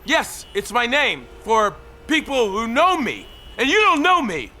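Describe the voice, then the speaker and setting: An actor playing a despairing, agitated man perched on a high ledge in an audio drama, rambling on and given to outbursts. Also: wildly swooping pitch, unhurried, full character voice. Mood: indignant. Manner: rambling, wistful, bursting into shouts. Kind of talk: audio drama monologue and dialogue. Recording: faint city traffic noise behind.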